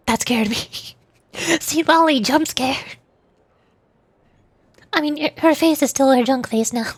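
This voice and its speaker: A woman's voice: sits high, slow pace, dry recording. A young woman streaming a game, chatting playfully with frequent giggles as she comments. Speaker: VTuber streamer.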